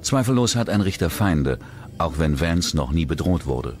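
A man's voice: very deep voice, sing-song, normal speed, a little mellow, slight background noise. A man reads a scripted true-crime documentary narration. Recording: music bed behind the voice. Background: background music.

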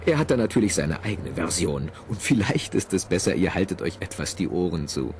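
Low, wildly animated male narrator. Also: fairly quick, a little hiss or hum. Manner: theatrical, conversational asides to listeners. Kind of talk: scripted children's audio play narration.

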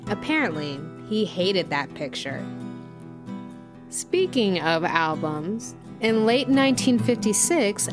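A woman playing a thoughtful music documentary host, narrating a scripted story in a measured way. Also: mid-range voice.

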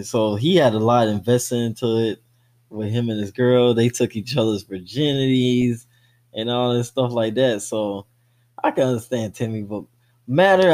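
A man's voice: medium pitch, a little mellow. A man co-hosting a movie podcast, talking casually in slang and swearing freely. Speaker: podcast co-host.

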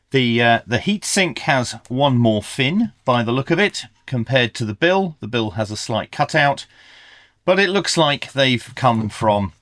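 Middle-aged man with lively, swooping intonation. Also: mid-range voice, dry recording. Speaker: YouTube host reviewing radio gear. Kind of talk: scripted product review with hands-on commentary. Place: small indoor electronics workshop.

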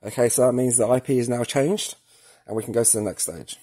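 A man with a slightly husky, rough-edged voice talks through a practical setup in a casual way. His pitch is mid-range, and he goes step by step, thinking aloud as he works. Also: a little fast, warm tone. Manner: informal, step-by-step, thinking aloud while working. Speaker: product tutorial presenter. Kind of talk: casual hands-on tutorial narration. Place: indoors at a work table, filming hands and device.

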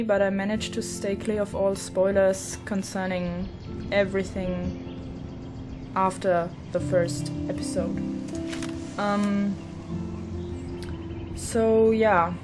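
A woman giving casual let's play commentary on a game, halting and muttering little asides to herself. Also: medium pitch. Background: video game music.

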